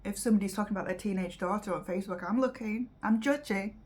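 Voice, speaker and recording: A British woman giving casual reaction commentary as host, rambling and halting as she talks. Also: medium pitch, a little mellow, slightly rough. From Britain.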